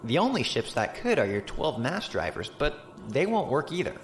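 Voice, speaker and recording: An actor playing a candid, informal tactical analyst in a science fiction audio drama, explaining things casually. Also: male voice, medium pitch, very animated, moderate pace, a little hiss or hum, close to an everyday voice. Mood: candid, explanatory. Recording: echoey room, faint background ambience.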